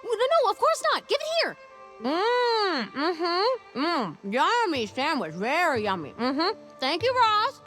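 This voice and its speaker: An actress playing a plucky young heroine in an animated video game, brave and kind with dry, playful sarcasm. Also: female voice, extremely high pitch, slow, exaggerated voice. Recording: loud music under the voice.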